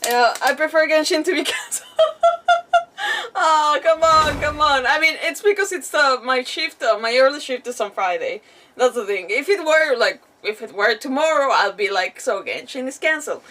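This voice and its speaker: A woman game streamer chatting casually to her viewers in an animated, rambling, self-deprecating way. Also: high voice, normal speed, a little hiss or hum. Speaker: game livestreamer. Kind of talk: casual livestream chat to viewers. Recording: distant mic, music playing behind. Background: background music.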